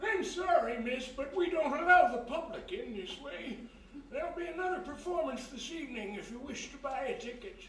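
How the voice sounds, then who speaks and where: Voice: high voice, expressive, normal speed, fairly bright tone. A performer playing a friendly, folksy old backstage doorman in a live radio mystery play. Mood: firm. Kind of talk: 1940s radio mystery acting, live on stage. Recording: echoey live room, distant mic, faint noise.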